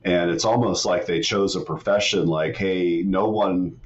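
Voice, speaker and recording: A middle-aged man from West Virginia, a retired soldier speaking as a podcast guest in a laid-back, halting way. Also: low-pitched, steady tone, dull audio. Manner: laid-back, frequent "man" and "you know", halting restarts. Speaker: guest, retired Army master sergeant and author. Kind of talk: casual podcast interview.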